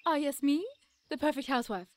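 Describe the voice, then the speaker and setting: A woman acts a curious, nature-loving young woman in an audio drama. Her voice sits a bit high, and she speaks earnestly and politely, with small hesitations and stammers. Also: a little fast, lightly acted. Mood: wry.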